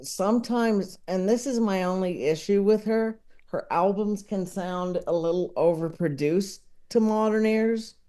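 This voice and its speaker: Thoughtful interview guest, slow and searching delivery, soft mellow tone, casual and reflective, often doubling back on words. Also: medium pitch. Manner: halting, thoughtful, repeats words while searching for phrasing. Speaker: podcast guest, comedy enthusiast.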